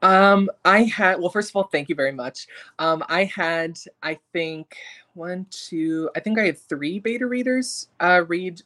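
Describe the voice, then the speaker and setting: A man's voice: high-pitched, unhurried. A man in his mid-twenties, a guest author, answering interview questions in a friendly, casual way with frequent ums. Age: 20s. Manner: friendly, many ums, lively swings in loudness.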